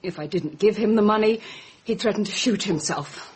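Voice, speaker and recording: A woman's voice: mid-range voice, moderate pace, a little mellow, slight background noise, natural voice. An actress playing a shaken, world-weary young society woman in a radio drama. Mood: weary confessing.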